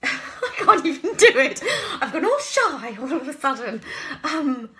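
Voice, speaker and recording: A woman's voice: high-pitched, big pitch swings, a little slow, sharp tone. A British woman chatting casually in a live Q&A at home, rambling and self-deprecating as she goes. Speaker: actress and voice actor answering viewer questions. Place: at home, indoors.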